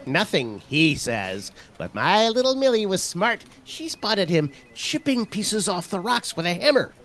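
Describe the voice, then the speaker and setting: Man as high, animated busybody neighbour. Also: unhurried, full character voice. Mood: proud, chatty. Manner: busybody, boastful, jovial. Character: chatty, eager party-loyal neighbour and proud father. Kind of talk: audio drama acting.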